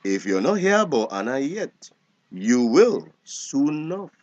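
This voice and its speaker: Slow-talking, weary old Belizean grandfather. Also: medium pitch, sing-song, darkish tone, lo-fi sound. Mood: bitter. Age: older. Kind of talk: screen drama dialogue.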